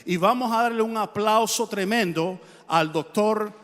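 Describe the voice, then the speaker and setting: A man's voice: high-pitched, unhurried, a bit bright, big-room sound. A man introducing a guest speaker from the pulpit, rousing the congregation to respond.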